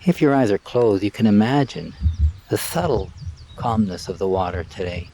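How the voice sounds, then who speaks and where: A man leading a live guided meditation in a calm, measured way, in short phrases with long pauses, outdoors. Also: on the low side. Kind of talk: live guided meditation, spoken softly and unscripted. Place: outdoors by water and trees.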